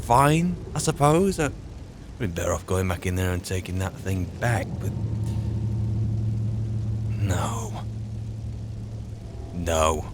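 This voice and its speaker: A man roleplaying a musing, wry adventurer in a fantasy game, speaking in a halting, dry, reflective way. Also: lowish voice, slight background noise. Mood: resigned, wry. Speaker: player narrating a roleplay. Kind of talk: in-character game roleplay monologue.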